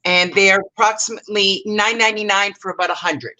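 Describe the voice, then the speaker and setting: A woman's voice: medium pitch, fairly even intonation, fast talker, bright, forceful tone. A Canadian woman hosting a craft live stream, chatting casually and correcting herself as she works. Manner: rambling, self-correcting while working. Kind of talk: casual craft demo chat.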